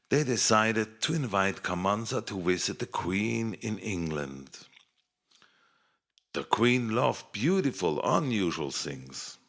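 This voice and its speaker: A man narrating a scripted fairy tale in an expressive, measured children's storytelling manner. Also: on the low side.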